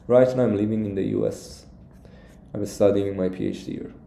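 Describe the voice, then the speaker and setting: Young man, Iranian accent, soft dark tone, halting casual live-stream coach, roomy echo. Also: lowish voice, steady tone, creaky, slight background noise. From Iran. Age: young adult. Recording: echoey, roomy sound.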